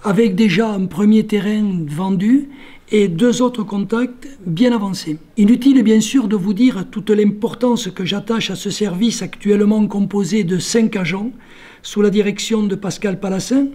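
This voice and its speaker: Male public official, high voice with a mellow, dark edge, reading a formal address. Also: normal speed. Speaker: local elected official giving a speech. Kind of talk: formal prepared speech, read out.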